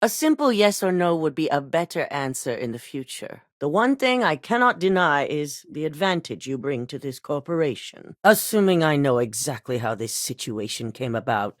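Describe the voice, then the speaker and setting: An actress voicing a calculating corporate executive who hands out missions in a video game, speaking in a composed, clipped, authoritative way. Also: female voice, sits low, very animated, normal speed, hardly any pauses, no room sound, studio quality. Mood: cold. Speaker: corporate boss giving missions.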